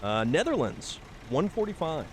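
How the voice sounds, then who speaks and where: A man's voice: a little high, huge pitch swings, moderate pace, slight background noise. A man from Massachusetts chatting casually with viewers while he plays a driving game, rambling with fillers and thanking people by name. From Massachusetts, New England. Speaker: streamer. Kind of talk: casual live-stream chat while playing.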